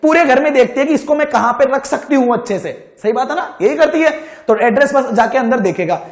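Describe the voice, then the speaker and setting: A man's voice: very high-pitched, animated, a little fast. A man giving an informal lecture as an engineering teacher, colloquial and often checking understanding with short questions. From India. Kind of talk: informal classroom-style lecture with everyday examples. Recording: echoey room.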